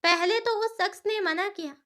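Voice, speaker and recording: A woman's voice: very high-pitched, moderate pace, sharp tone. A woman reading a scripted dramatic story as its narrator.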